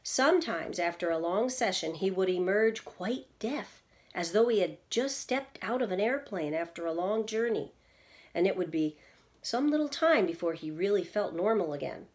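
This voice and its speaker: A woman's voice: medium pitch, animated, moderate pace, a little mellow. Middle-aged woman reading a children's story aloud. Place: indoors at home, seated in an armchair in a small room.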